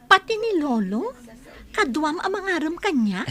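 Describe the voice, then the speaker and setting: An actress playing a coy, sensible young sweetheart in an Ilocano radio drama. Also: female voice, extremely high pitch, very animated, measured pace, fairly bright tone, heavily acted.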